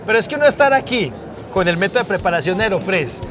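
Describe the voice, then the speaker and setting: High-voiced, fast-talking Colombian man presenting. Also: expressive, crowd noise. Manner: exclamatory, folksy. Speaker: TV show host. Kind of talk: lively presenting and chatting. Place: busy indoor coffee trade fair booth. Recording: music behind the voice. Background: music and fair noise.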